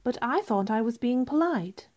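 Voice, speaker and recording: A spirited young lady in a period radio drama, acted by a woman. Her voice is high and lively in pitch, polite on the surface yet pointed and stubborn. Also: normal speed, lightly acted. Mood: coolly polite. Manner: polite but firm, pointed. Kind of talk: radio theater acting.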